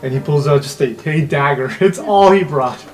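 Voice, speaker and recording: A man's voice: fairly high pitch, moderate pace, faint music behind. A man working as game master, narrating an improvised tabletop story casually.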